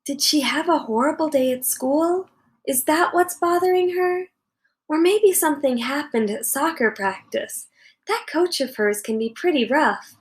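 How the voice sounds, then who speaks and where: Woman reader as a fretting mother, higher-pitched. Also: lively intonation, measured pace. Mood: worried. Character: worried, fretting mother of a schoolgirl.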